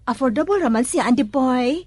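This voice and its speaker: Woman playing eager filipino wife in a radio drama, high voice, gushy and coaxing, very up and down, dry sound. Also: expressive, a little fast, darkish tone, dry recording. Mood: eager, persuasive. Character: eager, pleading wife keen on a beauty-product networking scheme.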